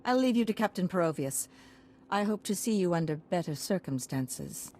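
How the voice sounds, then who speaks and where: A woman's voice: lowish voice, expressive, moderate pace, darkish tone, a little hiss or hum, close to an everyday voice. A woman playing a poised, grave baroness with a formal, courtly manner in a video game. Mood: gracious.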